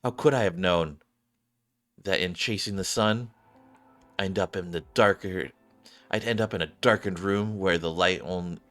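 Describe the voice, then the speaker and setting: A man's voice: low-pitched, moderate pace, long pauses, fairly bright tone. A man reading scripted game narration as a wistful, earnest young dreamer telling their own story. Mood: earnest, rueful.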